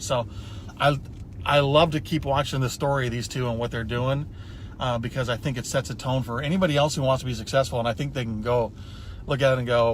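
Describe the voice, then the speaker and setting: A man's voice: medium pitch, slight background noise. A man hosting a casual interview, chatty and enthusiastic, heaping praise on his guests. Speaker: host.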